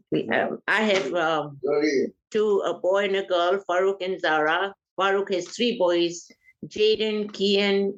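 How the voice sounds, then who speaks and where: Older woman, grew up in Uganda; slow, hesitant family-elder interviewee, dull lo-fi recording. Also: lowish voice. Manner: unhurried, hesitant, pauses often with "uh".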